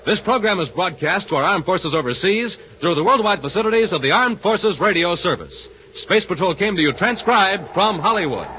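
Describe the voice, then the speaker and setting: A man's voice: high-pitched, big pitch swings, moderate pace, few pauses, sharp tone. A man with an American accent doing scripted 1950s radio announcing and narration in an emphatic, enthusiastic showman style. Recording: muffled, faintly noisy 1950s radio recording.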